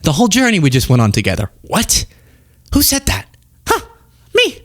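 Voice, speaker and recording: A man hosting a political show, talking off the cuff in an animated, sarcastic and self-mocking way. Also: high voice, normal speed. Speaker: political commentary show host. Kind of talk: casual off-the-cuff political commentary.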